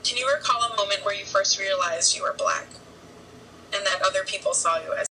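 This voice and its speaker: Woman asking interview questions, bright voice, kinda far from the mic, lots of like. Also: mid-range voice, fairly quick, a little hiss or hum. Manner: rambling, lots of likes.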